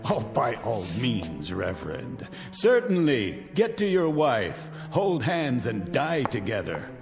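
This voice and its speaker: A man's voice: medium pitch, wildly swooping pitch, takes it slowly, gravelly, exaggerated voice. A middle-aged actor playing a vindictive rich man taunting his guests in 1960s television drama style, mocking and grandiloquent. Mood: scornful, mocking. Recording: vintage TV soundtrack, reverberant, music behind.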